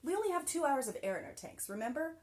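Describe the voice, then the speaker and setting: A woman's voice: sits high, very animated, fast talker, a little mellow, pitched above the performer's own voice. A woman voicing a cautious, worried young boy in a storybook reading. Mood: anxious. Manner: anxious, pleading.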